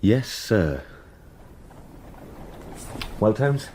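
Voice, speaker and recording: An actor playing a polite, slightly unsure young English solicitor, deferential and earnest, in a radio drama. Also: male voice, on the low side, big pitch swings, extremely slow, background noise, exaggerated voice. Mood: hesitant. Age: young adult.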